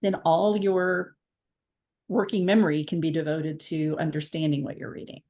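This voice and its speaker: A woman's voice: low-pitched, moderate pace, warm tone, muffled recording. A woman academic on a panel over a video call, earnest and insistent, repeating words for emphasis. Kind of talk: panel discussion.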